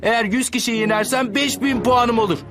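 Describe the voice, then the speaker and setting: A man's voice: extremely high pitch, fairly even intonation, fairly quick. A man playing an earnest, determined, friendly teenage boy hero in a dubbed anime. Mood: determined, eager.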